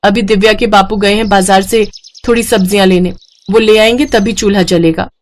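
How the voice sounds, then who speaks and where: A woman voicing a resentful, sharp-tongued village daughter-in-law in a story, blunt and complaining. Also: medium pitch, steady tone, fairly quick, close to an everyday voice. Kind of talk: acted Hindi moral story dialogue.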